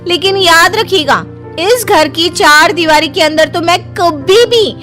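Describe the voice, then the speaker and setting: Woman acting stubborn, very high-voiced young daughter-in-law. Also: unhurried, bright, forceful tone, faint music behind, exaggerated voice. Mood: defiant. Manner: insistent, argumentative. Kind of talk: animated story character dialogue.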